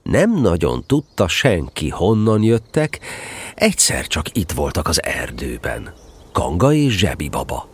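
A man's voice: deep voice, huge pitch swings, slightly rough. A man narrating a children's story in a scripted audio drama style.

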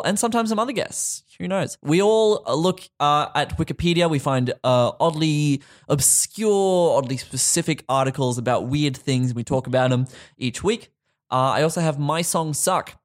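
A man's voice: fairly high pitch, lively intonation, moderate pace. A man chatting as a podcast guest, laughing easily and making fun of himself. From Australia. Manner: laughing, self-deprecating banter. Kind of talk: casual podcast chat.

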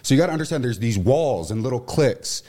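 A young man in his twenties who talks loudly and with emotion, a former football player chatting as a studio guest. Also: mid-range voice, fast talker. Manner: loud, emotional, animated delivery. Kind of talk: casual radio chat.

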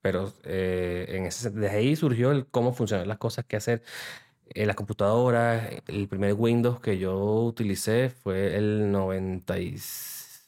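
A man's voice: lowish voice, fairly even intonation, moderate pace, a little mellow. A man in his thirties with a Venezuelan accent, a podcast guest explaining his work at length in a studio interview. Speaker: guest, software developer.

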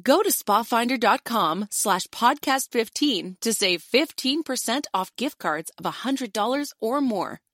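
An American woman announcer reads a scripted ad. Her voice sits fairly high and moves with a very lively melody, recorded dry and close. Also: measured pace, hardly any pauses, no room sound. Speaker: advertisement announcer. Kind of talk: scripted ad read.

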